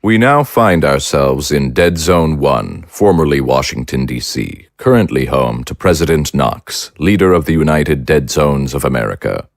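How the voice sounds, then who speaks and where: A man narrating scene changes in an audio drama in a measured, formal way. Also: extremely low pitch, lively intonation, no echo.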